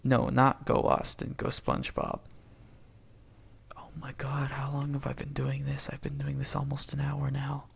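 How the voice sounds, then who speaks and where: A husky-voiced man reading a film script aloud, stage directions and dialogue alike, stumbling and correcting himself and breaking off into asides. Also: medium pitch, monotone, normal speed, fairly bright tone. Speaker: amateur reader doing a long script read-aloud.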